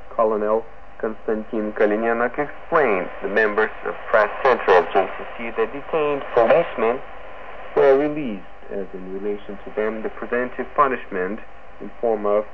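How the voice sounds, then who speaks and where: A man formally reads a scripted news bulletin as a radio presenter, breaking his sentences into halting phrases. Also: lowish voice. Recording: noisy, narrow-band shortwave radio broadcast.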